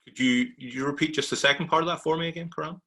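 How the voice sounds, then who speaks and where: A man speaking as an industry panelist, explaining points in a measured, conversational way. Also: fairly high pitch, fairly even intonation, sharp tone. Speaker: technology provider, panelist.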